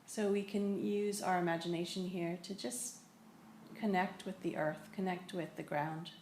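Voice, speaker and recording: A woman teaching a guided meditation, speaking in a gentle, unhurried way with long pauses. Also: fairly low pitch, steady tone. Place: indoor room in a building. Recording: echoey room with faint noise.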